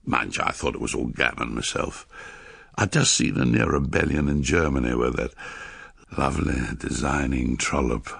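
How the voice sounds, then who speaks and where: A man's voice: very deep voice, moderate pace, gravelly, a bit bright, breathy edge, dry recording, heavily acted. An actor playing a shameless old Victorian soldier with an English accent, recounting his memoirs in a roguish, boastful, cynical way in a radio drama. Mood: wry, boastful. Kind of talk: dramatised first-person memoir narration.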